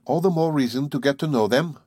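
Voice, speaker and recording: A man voicing a mild, deferential male servant who speaks softly and politely. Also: a little high, a little fast, breathy edge, no room sound, studio quality, pitched above the performer's own voice. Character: meek, deferential male manservant.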